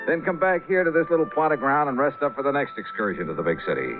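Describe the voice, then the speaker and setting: An actor playing a suave, wry American insurance investigator who acts and narrates in a vintage radio drama. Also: male voice, medium pitch, animated, fast, natural voice. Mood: wry narration. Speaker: lead character and narrator. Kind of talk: 1950s radio drama acting and first-person narration. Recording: tinny vintage radio, music behind.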